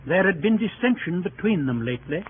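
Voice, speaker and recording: Higher-pitched male narrator, old tinny radio. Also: lively intonation, a little fast, slight background noise. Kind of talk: scripted narration, old-time radio drama.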